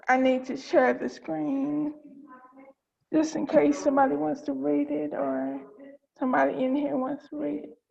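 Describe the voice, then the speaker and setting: High-pitched creaky-voiced woman on a call. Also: a little mellow. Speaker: organizer and host of table read. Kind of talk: casual video-call chat. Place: remote video call. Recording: dull lo-fi video call audio.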